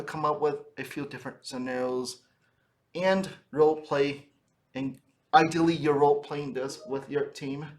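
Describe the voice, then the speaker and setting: A man giving an informal instructional talk as a trainer, conversational and often checking in with a tagged-on "right?". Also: mid-range voice. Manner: conversational, frequently tags phrases with "right?". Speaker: sales trainer for funeral businesses.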